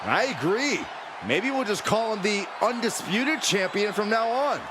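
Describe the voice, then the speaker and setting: An excitable wrestling commentator, his voice pushed very high. He projects his calls at a slow pace while a huge crowd roars around him. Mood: enthusiastic. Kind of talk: sports commentary. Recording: loud arena crowd noise.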